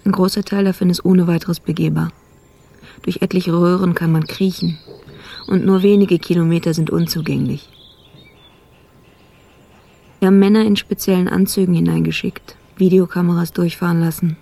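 An actress playing a sober police investigator who recounts a murder case in clipped, factual phrases, in a radio drama. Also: female voice, on the low side, steady tone, a little fast, vocal fry, warm tone. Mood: sober, reporting. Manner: clipped, factual, report-like delivery. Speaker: actor in radio play. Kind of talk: scripted radio drama narration.

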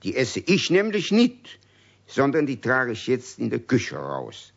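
A man playing a kindly, indulgent older uncle with a husky voice, good-natured and mock-stern, in a children's radio play. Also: mid-range voice, wildly swooping pitch, a little slow, heavily acted. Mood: firm, placating. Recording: dull old radio recording.

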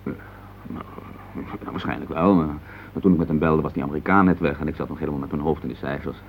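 An actor playing a busy, dismissive and placating businessman husband in a radio play, with a brisk, patronising manner. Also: rumbling low, steady tone, creaky, a little hiss or hum. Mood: cheerful, placating. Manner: brisk, patronising, full of tag questions. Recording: dull lo-fi radio play recording.

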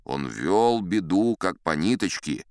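An old sea captain spinning tall tales for an audiobook, in a very low voice. He is boastful and unflappable, smooth and resonant, savouring the story with wide swings of pitch. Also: sing-song, unhurried, darkish tone, no room sound, studio quality, heavily acted. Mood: pleased, confiding.

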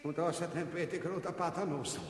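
A boastful, cowardly bureaucrat with an Austrian accent in a comic radio drama. His voice sits fairly high and speaks in pompous, mock-solemn, long-winded style. Long reverb hangs around it. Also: steady tone, quick pace, breathy edge. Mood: panicky, self-serving. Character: pompous, cowardly, boastful finance magister among soldiers. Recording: studio radio play with long hall reverb.

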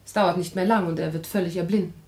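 A woman's voice: lowish voice, steady tone, quick pace, darkish tone, reverberant, lightly acted. An actress playing a frank, composed, practical woman in a radio play, matter-of-fact and articulate. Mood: composed, candid. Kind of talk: classic German radio play acting.

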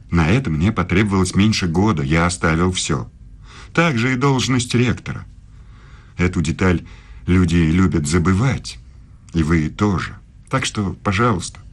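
Proud, low-voiced elderly German philosopher. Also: male voice, exaggerated voice. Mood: guarded, defensive. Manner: measured, formal, deliberate speech. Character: proud, aggrieved elderly German philosopher, self-justifying former rector. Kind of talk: radio play acting.

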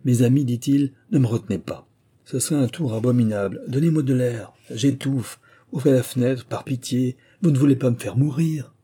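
A man voicing a blustering male wind spirit from a storybook, with a gruff big voice. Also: mid-range voice, lively intonation, a little fast, mellow, pitched above the performer's own voice. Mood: pleading. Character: blustering giant wind spirit, booming, then pleading. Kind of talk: storybook character voice.

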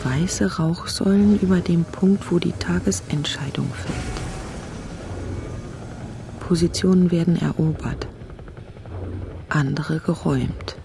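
A woman playing the brooding inner voice of a creature in a literary radio drama, deliberate and fragmentary. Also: lowish voice, vocal fry. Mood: observing. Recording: noticeable ambient noise behind voice.

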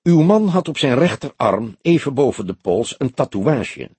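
A man voicing a polite, wry old police detective with a measured, courteous manner in an audiobook. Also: medium pitch, big pitch swings, breathy edge, no room sound, voice pushed up. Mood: solemn, probing. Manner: courteous, measured, gently mocking. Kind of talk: audiobook character dialogue.

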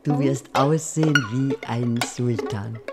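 An actress playing a brisk, bossy, matter-of-fact woman in a radio play, over plucked string music. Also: very deep voice, full character voice. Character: brisk, bossy woman tending a child with lice.

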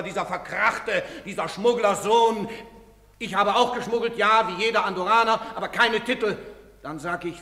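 An actor playing a gruff, bitter, protective middle-aged father, speaking emphatically in a theatrical stage style. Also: sits high, bright, forceful tone. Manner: emphatic, brusque. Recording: reverberant theatre stage recording.

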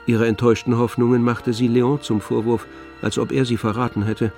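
An actor playing the all-knowing narrator of a literary tragedy in a radio drama, telling it in a measured, expressive way. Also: male voice, mid-range voice, soft background music, close to an everyday voice. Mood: wry, detached.

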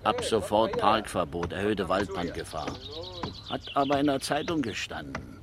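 An actor playing a terse, folksy East German forestry worker, gruff and fond of teasing, in a 1970s radio crime drama. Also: male voice, fairly low pitch, expressive, moderate pace, a crowd in the background, close to an everyday voice. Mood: gruff, matter-of-fact. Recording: clearly noisy old radio play recording.

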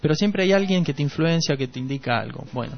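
A man's voice: on the high side, expressive, quick pace, creaky. An Argentine man with a Rioplatense accent being interviewed as a musician, speaking reflectively and earnestly, rephrasing as he goes. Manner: earnest, rephrases and repeats for emphasis. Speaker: musician and producer, radio guest. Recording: dull lo-fi radio, faint music behind.

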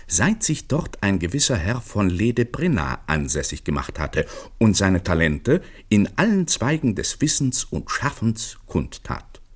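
A man narrating a literary radio play in a measured, wry storytelling manner, with a husky voice. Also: low voice, darkish tone, heavily acted.

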